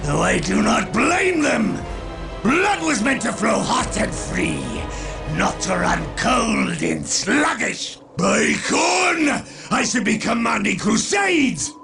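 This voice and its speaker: Gravelly, roaring Chaos warlord. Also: male voice, very high voice, big pitch swings, takes it slowly, shouting, music in the background, full character voice. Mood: brooding contempt. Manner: bombastic, menacing, declamatory. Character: bloodthirsty, arrogant male Chaos warlord, warrior fanatic. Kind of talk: theatrical video game voice acting.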